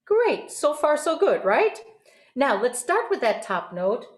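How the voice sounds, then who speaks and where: A woman's voice: high voice, very animated, unhurried, slight background noise. A woman, middle-aged or older, teaching voice in a conversational, encouraging and explanatory way. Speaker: host and voice teacher. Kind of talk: conversational vocal coaching. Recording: roomy, echoing sound.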